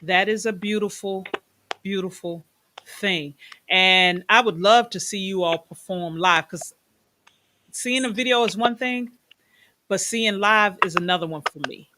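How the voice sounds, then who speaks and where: A woman's voice: medium pitch, measured pace, sharp tone, slight background noise. A middle-aged woman hosting an interview in a chatty, enthusiastic way, stretching words for emphasis. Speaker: host. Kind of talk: casual interview chat.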